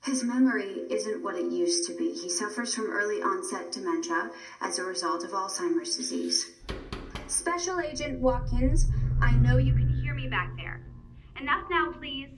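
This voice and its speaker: A woman's voice: medium pitch, expressive, normal speed, natural voice. A woman playing a polite, formal android nurse in an audio drama, protective and insistent. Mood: insistent, concerned. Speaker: robot nurse caring for a patient. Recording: roomy echo, faint background noise.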